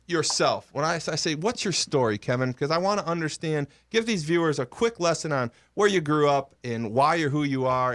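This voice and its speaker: A man's voice: medium pitch, a bit bright. A middle-aged man, hosting a casual talk show in a jokey, rambling way. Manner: jokey, rambling, frequent laughs and fillers.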